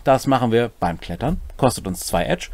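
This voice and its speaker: Middle-aged man, lively intonation. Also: medium pitch, normal speed, no room sound. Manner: playful, wry asides. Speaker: co-host explaining game rules. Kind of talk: casual explanation of tabletop game rules. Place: small indoor home room.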